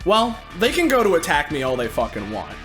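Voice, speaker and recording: High-voiced man playing a tabletop game. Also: huge pitch swings. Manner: halting, casually sweary. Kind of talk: improvised game narration and rules talk. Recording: loud music behind the voice. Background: music.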